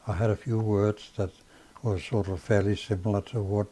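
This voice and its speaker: An older man with a Danish accent, a native Danish speaker, recalling his life in a slow, halting way with many pauses and fillers. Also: lowish voice, steady tone, dark tone, slight background noise. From Denmark. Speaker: father being interviewed. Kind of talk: casual reminiscing interview.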